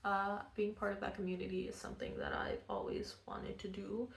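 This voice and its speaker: Soft-spoken young woman, creaky, echoey room. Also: mid-range voice, wildly swooping pitch, a little fast. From Venezuela. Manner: soft-spoken, rambling, candid. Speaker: vlogger, cartoonist. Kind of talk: casual personal vlog.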